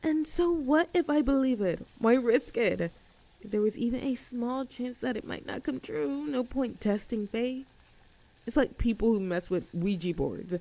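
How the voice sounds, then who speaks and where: A woman's voice: fairly high pitch, expressive, a little slow, mellow, poor audio. A woman voicing a cheerful, easygoing young tomboy friend in an intimate roleplay audio drama, talking in a casual, chatty and affectionate way. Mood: playful, earnest.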